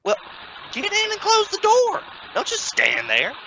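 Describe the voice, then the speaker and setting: A man's voice: very high voice, wildly swooping pitch, a little slow, vocal fry, exaggerated voice. A man playing an exasperated, sarcastic father of three in an old-time radio comedy. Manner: sarcastic, scolding. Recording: dull, noisy home-made remote recording.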